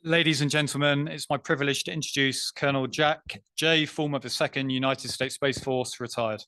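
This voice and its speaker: A man serving as master of ceremonies, reading formal announcements in a measured way. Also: medium pitch, steady tone, sharp tone.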